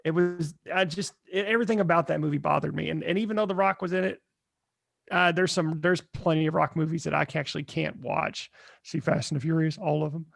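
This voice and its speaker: Male co-host, high register with gravelly fry, opinionated, rambling, conversational. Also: steady tone, brisk, no echo, studio quality. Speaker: podcast co-host. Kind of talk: casual chat.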